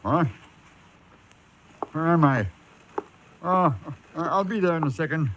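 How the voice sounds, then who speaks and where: An actor playing a guilty, evasive husband in a theatrical, suspenseful 1980s radio horror drama. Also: male voice, on the high side, slow, a bit bright, lo-fi sound, a little hiss or hum. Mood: bewildered. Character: guilty, haunted husband hiding a crime, evasive and edgy.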